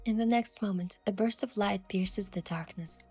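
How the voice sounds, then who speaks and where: A woman playing a young romantic heroine, narrating her adventure in a soft, measured, wistful way for a game story. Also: mid-range voice, breathy edge, faint music behind, lightly acted. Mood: earnest, wistful. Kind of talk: scripted first-person story narration.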